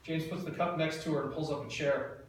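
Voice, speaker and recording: A man's voice: fairly high pitch, fairly quick, distant mic. Man reading stage directions, echoey room. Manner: plain, even reading with small stumbles. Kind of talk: reading screenplay action lines. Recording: echoey room, mic from afar, faint noise.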